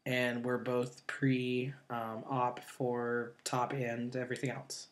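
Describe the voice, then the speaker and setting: Casual young man in his twenties. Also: mid-range voice. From northeastern Ohio. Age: 20s. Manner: relaxed, many fillers and pauses. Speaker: co-host. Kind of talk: casual chat.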